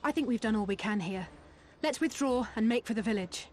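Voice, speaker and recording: A woman's voice: on the high side, fairly quick, a little hiss or hum, natural voice. A woman playing a determined, noble young resistance fighter in a video game, earnest and resolute. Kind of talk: dramatic game cutscene acting. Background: music.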